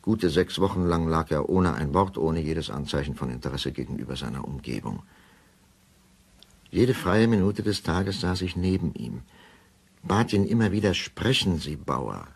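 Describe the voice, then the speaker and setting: A grave doctor confesses in a radio drama. His voice is very low, crackly and rough, and he speaks deliberately, with brooding pauses. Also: lively intonation, exaggerated voice. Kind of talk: German radio drama monologue.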